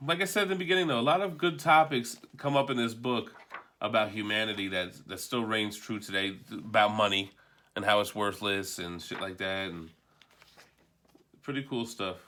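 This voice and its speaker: Bright-voiced man, offhand and casual. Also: mid-range voice, normal speed. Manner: offhand, rambling, mildly profane. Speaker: comic book collector reviewing a book. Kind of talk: casual unscripted video review.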